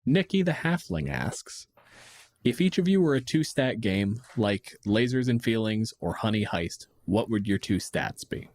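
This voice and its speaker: A man's voice: fairly low pitch, lively intonation, a little slow, a little mellow, dry recording, clean studio sound. A man in his thirties chatting casually as a podcast host, explaining things in a wry, emphatic, sweary way.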